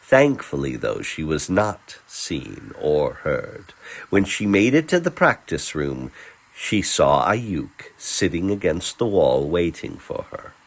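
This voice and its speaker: A man narrates a dramatic story in a low, husky voice. His phrasing is slow and deliberate, set off by theatrical pauses. The pitch moves widely as he tells it. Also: sing-song. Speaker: narrator, voice performer.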